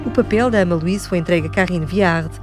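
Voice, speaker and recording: A woman radio reporter reading scripted narration in a measured, even way. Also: on the low side, music in the background.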